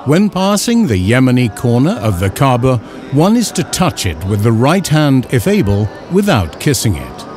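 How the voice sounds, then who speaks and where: A man reading scripted instructional narration in a measured, formal, carefully enunciated way. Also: mid-range voice, wildly swooping pitch, darkish tone, soft background music. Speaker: narrator of an educational film.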